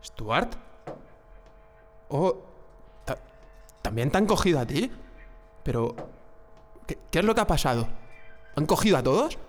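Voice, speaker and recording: A man's voice: on the high side, sing-song, measured pace, pauses often. A man from playing an anxious, defiant dissident in a radio drama, earnest and questioning. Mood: confused, alarmed. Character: defiant, anxious male dissident who hates the regime. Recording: long hall reverb, faint music behind.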